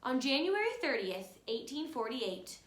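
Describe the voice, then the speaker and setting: A woman's voice: sits high, very animated, a little slow, fairly bright tone. A woman teacher reading a children's picture book aloud, speaking expressively and instructively, directly to young listeners. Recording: roomy, echoey room sound.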